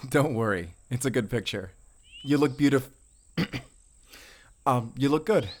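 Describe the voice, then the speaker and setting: Friendly, bashful young guy played by a man. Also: on the low side. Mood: eager. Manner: hesitant, bashful, chatty, soft-spoken. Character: friendly, shy, kind young male stranger with camera. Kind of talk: naturalistic cinematic audio roleplay acting.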